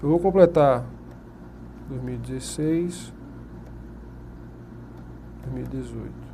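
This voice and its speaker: A man's voice: mid-range voice, slow, warm tone, slight background noise. A man with a Brazilian Portuguese accent giving an exam-prep lecture at a whiteboard. He asks the class questions and answers them himself. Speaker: teacher.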